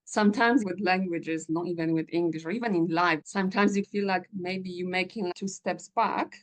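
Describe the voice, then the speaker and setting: A Polish woman hosting an English-learning podcast, chatting in a lively, encouraging way and laughing often. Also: fairly low pitch, normal speed. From Poland. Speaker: English teacher and podcast host. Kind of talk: casual interview chat.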